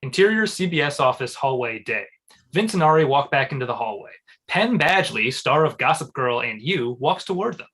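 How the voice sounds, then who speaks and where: Bright, husky-voiced man narrating. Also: mid-range voice, lively intonation, moderate pace. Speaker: stage directions reader. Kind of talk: scripted narration.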